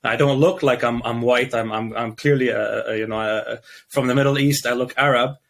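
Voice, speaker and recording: Young Canadian-born male guest, halting and thoughtful, plenty of fillers and restarts, mid-pitched voice. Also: steady tone, creaky. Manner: hesitant, many restarts and fillers. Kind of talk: casual interview chat.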